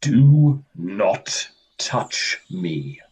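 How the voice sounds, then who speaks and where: A man playing a weary, stern Death in a radio play, speaking in a curt, formal, deadpan way. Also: medium pitch, very slow, slightly rough, full character voice. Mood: stern, irritated. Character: Death, weary, stern, gentlemanly and easily irritated.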